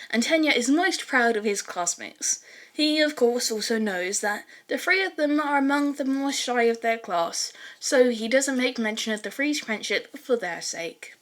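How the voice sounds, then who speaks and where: A young woman with a fairly high voice reads fan fiction aloud. She has an informal way of talking, as an amateur author presenting her own story to listeners. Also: a bit bright. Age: young adult. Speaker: podfic author and reader. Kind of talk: casual spoken intro and fan fiction narration.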